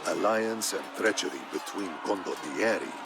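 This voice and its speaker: A man narrating a historical tale in a scripted, dramatic style, solemn and measured. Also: deep voice, gravelly. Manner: solemn, measured storytelling delivery. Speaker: narrator of a strategy game campaign story. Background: background music.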